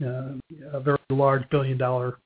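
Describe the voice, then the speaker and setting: A man's voice: mid-range voice, monotone, moderate pace. A middle-aged American man giving conversational answers as a business guest in an interview. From Wisconsin, United States. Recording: muffled narrow phone-like audio.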